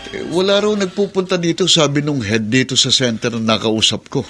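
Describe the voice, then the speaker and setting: An actor playing a concerned, exasperated grown son and uncle in a radio drama. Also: male voice, mid-range voice, fairly even intonation, brisk, over music, close to an everyday voice.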